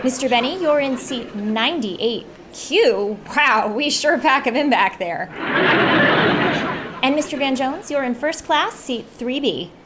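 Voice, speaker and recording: A woman's voice: high-pitched, animated, unhurried, a loud crowd, heavy background noise. A woman playing a bemused, politely wry airline ticket agent in an old-time radio comedy.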